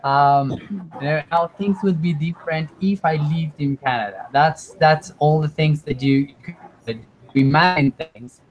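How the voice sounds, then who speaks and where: Slow, patient male English teacher. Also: a little high, low-quality recording. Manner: slow, patient, repeats himself. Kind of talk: online class teaching. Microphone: computer mic on a video call.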